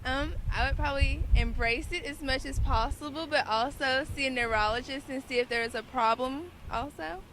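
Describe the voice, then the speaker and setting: Woman, street interviewee, high voice, casual, faint noise. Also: moderate pace, hardly any pauses, a bit bright, low-quality recording.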